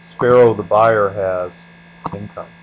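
A man with a fairly low voice teaches in a deliberate, explanatory way, as in a screencast tutorial. His phrases tend to trail off at the ends. A faint electrical hum sits behind the voice. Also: rough recording. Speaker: accounting instructor. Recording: steady mains hum behind voice.

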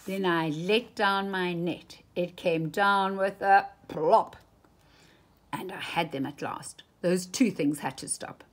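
A woman's voice: fairly low pitch, slow pace. A woman reading a children's picture book aloud with dramatic, emphatic phrasing, as a storytime reader.

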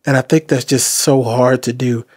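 A man's voice: medium pitch, steady tone, normal speed, darkish tone, breathy edge, dry recording, studio quality. A man in his thirties hosting a reflective commentary, talking earnestly to the camera from a small room. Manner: earnest, advice-giving. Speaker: host. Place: small decorated room indoors. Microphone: desk mic in front.

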